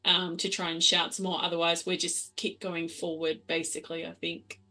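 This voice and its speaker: A woman's voice: on the low side, little pitch movement, normal speed, bright, forceful tone. A woman chatting casually as a tabletop game player, describing her character's actions informally.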